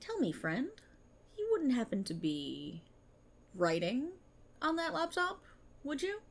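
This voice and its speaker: Woman acting an animated, husky, exasperated policewoman. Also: sits high, slow, exaggerated voice. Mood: probing, wry. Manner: sarcastic, indignant, theatrical. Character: exasperated, self-aware policewoman fed up with her script. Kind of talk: ASMR audio roleplay monologue, dramatic acting.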